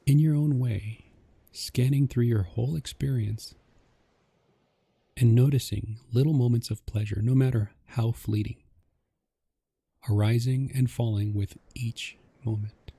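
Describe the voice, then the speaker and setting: A man narrating a guided meditation in a gentle, calming, measured way, with a soft voice. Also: medium pitch, creaky, slightly rough, no echo.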